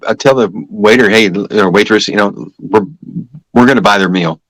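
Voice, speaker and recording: A man's voice: on the low side, normal speed, fairly bright tone, no echo, poor audio. A man speaking as a podcast guest, telling personal testimony conversationally and emphatically, acting out bits of dialogue.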